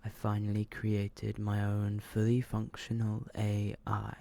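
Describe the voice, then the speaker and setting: A man softly reading short horror stories in an ASMR style, slow and hushed, often slipping into a whisper. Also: fairly low pitch, completely flat delivery, creaky. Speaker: ASMR video creator and host.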